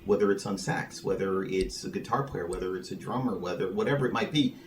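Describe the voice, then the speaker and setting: A man's voice: sits low, fairly quick. A man hosting a casual podcast interview, talking in a wordy, emphatic way with repeated phrases. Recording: noticeable background room noise.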